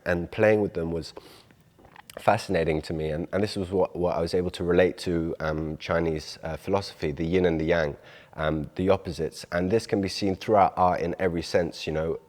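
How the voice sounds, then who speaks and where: A deep-voiced man with an English accent speaks thoughtfully and earnestly about his art. His voice rings with long reverb, as in a large hall. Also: fairly even intonation, brisk, a little mellow. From London, England. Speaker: artist. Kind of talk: reflective talk about own art.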